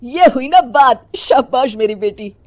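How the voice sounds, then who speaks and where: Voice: sits high, lively intonation, unhurried. An actor voicing an indignant, protective father in a moral story, speaking firmly with reproach and reassurance. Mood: reassuring. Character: indignant protective father defending his daughter's schooling.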